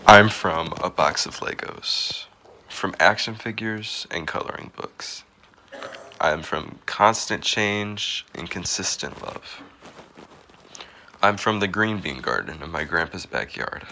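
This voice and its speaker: A man reading a personal poem aloud in a slow recitation. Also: low voice, expressive, gravelly, sharp tone, a little hiss or hum.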